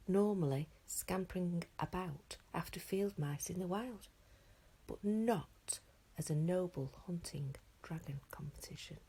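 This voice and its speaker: A woman teacher reading a children's book aloud with expressive storytelling. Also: on the low side, husky. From England.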